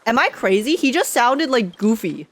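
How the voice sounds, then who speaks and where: Animated high-voiced young female streamer. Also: moderate pace, no echo. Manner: animated, jokey, slangy. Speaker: gaming streamer. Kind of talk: casual live game reaction.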